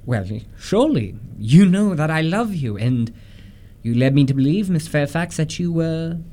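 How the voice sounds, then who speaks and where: A man playing an earnest, polite Victorian gentleman in his late twenties in a radio comedy, formal and a little flustered. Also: a little high, lively intonation, moderate pace, natural voice. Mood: earnest, pleading. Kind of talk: stage-comedy radio acting.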